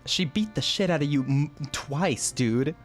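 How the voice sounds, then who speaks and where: A streamer reacts casually to a game in slangy, offhand remarks. The intonation is lively and varied, with faint music underneath. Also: medium pitch, unhurried. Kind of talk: casual gameplay commentary.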